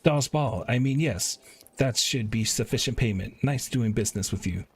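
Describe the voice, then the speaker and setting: A man voicing a brisk, money-minded goldsmith merchant from a fantasy game, businesslike in manner. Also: mid-range voice, a little hiss or hum, close to an everyday voice. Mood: shrewd.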